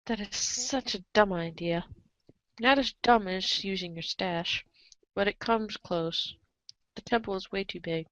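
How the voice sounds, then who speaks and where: A woman's voice: mid-range voice, unhurried, fairly bright tone, no echo. A woman reading scripted narration of a story in a readers' theater show. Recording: dull lo-fi microphone sound.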